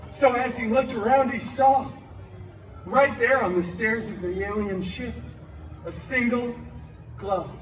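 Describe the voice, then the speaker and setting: An actor playing a wisecracking, brash costumed superhero, telling a comic story live to a crowd with sarcastic asides. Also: male voice, sits high, recorded from a distance, background noise. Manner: sarcastic asides, exaggerated delivery. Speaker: theme park character performer telling stories to guests. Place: outdoor theme park show area. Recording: echoey PA outdoors, music and crowd.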